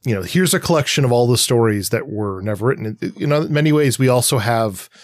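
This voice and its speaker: A man's voice: mid-range voice, lively intonation, fast talker, no room sound. A man co-hosting a casual analytical discussion podcast, reflective and thinking aloud with many qualifiers. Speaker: podcast co-host.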